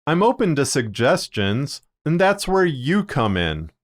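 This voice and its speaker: A man narrating a scripted video as a podcaster, speaking in measured, deliberate phrases with short pauses. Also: fairly high pitch, very animated. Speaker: podcaster and fiction narrator presenting a video series.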